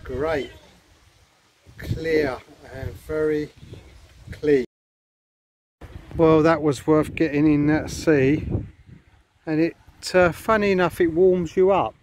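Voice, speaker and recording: Male vlogger, unhurried chatty walk-and-talk outdoors, handheld camera with faint background noise. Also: on the high side, fairly even intonation, darkish tone, poor audio. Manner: rambling, chatty, addresses viewers. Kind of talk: casual walking vlog. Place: outdoors on a beach and hotel paths.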